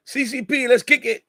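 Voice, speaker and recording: A man with a high-pitched voice hosts a casual livestream. He rambles along informally and circles back over his own phrases. The sound is dry and close, with very little room in it. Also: bright, forceful tone, no echo. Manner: rambling, repeats himself. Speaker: livestream host. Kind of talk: casual live chat with viewers.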